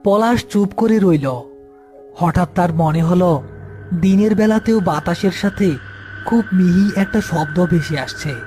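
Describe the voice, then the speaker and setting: A man's voice: high-pitched, unhurried, mellow, faint music behind. A man narrating a scripted horror folk tale as storyteller.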